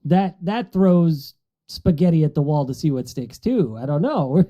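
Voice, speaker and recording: Lively-pitched man on a dull recording. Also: a little slow. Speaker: podcast co-host. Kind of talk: casual chat. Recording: slightly dull, lo-fi sound.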